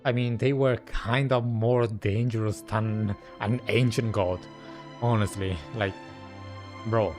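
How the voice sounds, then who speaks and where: A man's voice: lowish voice, fairly even intonation, takes it slowly, darkish tone. A man giving casual, informal reactions while playing a video game, with muttered asides. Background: faint game music.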